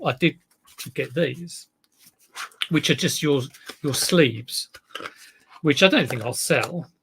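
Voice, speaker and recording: A man with a relaxed South East London drawl chats loosely to his viewers, wandering from point to point. His voice sits a touch high for a man and carries plenty of gravelly fry. The tone is slightly husky rather than clean. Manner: rambling, chatty, reads out viewer comments. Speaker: eBay reseller livestream host. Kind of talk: casual live stream chat with viewers.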